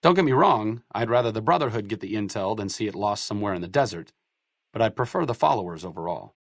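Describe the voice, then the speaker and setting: An actor playing an idealistic, bookish wasteland doctor in a video game, articulate and wry in scripted dialogue. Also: male voice, on the low side, expressive, fast, creaky, no room sound, clean studio sound. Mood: earnest, wry. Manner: articulate, wry, erudite wording.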